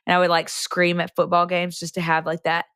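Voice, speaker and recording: A woman co-hosting a podcast in casual chat, hoarse after an illness, telling stories in a loose, chatty way. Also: fairly low pitch, monotone, fairly quick, a bit bright, no echo, clean studio sound. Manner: chatty, loose storytelling with many fillers. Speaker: podcast co-host and middle school teacher.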